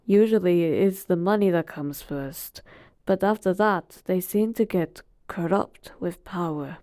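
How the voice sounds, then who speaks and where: A woman's voice: on the low side, measured pace, warm tone, no echo, studio quality, close to an everyday voice. A woman voicing an ancient, all-powerful genie who talks casually and teasingly to a master in a roleplay monologue. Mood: reflective. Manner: casual, teasing, conversational asides. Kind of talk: in-character roleplay monologue to the listener.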